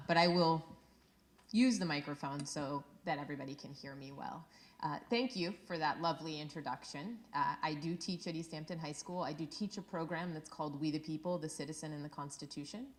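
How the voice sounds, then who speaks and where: Low-voiced enthusiastic woman history teacher. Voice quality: big projecting teacher voice. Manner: energetic, enthusiastic, teacherly with many fillers. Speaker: high school history teacher, guest speaker. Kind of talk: public talk to an audience. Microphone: handheld microphone held low.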